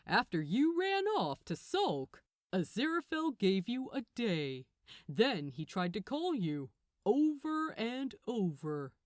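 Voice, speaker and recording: A woman's voice: a little high, dry recording, clean studio sound. A woman voicing a smug male archangel in an audiobook, condescending and falsely friendly as he lectures.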